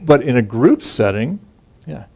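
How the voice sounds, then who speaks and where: A man's voice: mid-range voice, big pitch swings, measured pace, vocal fry, warm tone, breathy edge. A man teaching from scripture in an informal, conversational way, reading verses aloud and explaining them with frequent restarts and asides. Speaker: Bible teacher.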